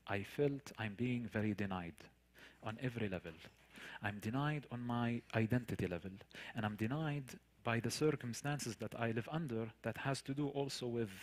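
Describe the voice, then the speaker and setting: A man with a Palestinian Arabic accent, a native Arabic speaker, tells a personal story to listeners as a guest speaker, in an earnest, emphatic manner with rhetorical questions and repetition. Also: mid-range voice. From Palestinian territories. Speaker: guest speaker, tour guide. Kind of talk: personal storytelling in a public talk.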